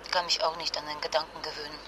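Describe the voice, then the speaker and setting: A woman's voice: low-pitched, level tone, racing, lightly acted. Woman acting stressed young mom on the run, emotional n urgent, radio play, noisy background. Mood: weary, resolute. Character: distraught young mother fleeing disaster with sick child. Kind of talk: naturalistic radio drama dialogue.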